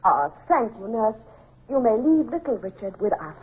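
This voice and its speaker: A woman's voice: on the high side, very animated, normal speed. An actress playing a gracious, affectionate upper-class lady in an old-time radio drama, with a poised, emotive delivery. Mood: warm, composed. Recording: muffled, noisy old radio recording.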